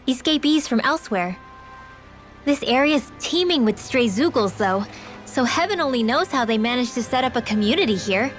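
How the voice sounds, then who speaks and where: A woman's voice: fairly high pitch, natural voice. A woman playing an earnest, chatty teenage girl companion in a fantasy game, casual and concerned. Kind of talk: video game voice acting.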